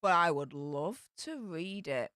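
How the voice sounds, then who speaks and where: A woman's voice: fairly low pitch, lively intonation, slow, a bit bright, dry recording, clean studio sound. A woman with a Yorkshire accent hosting a casual livestream, reading viewer comments aloud and answering them in a chatty way with broad local slang. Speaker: livestream host reading chat. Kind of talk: casual live chat.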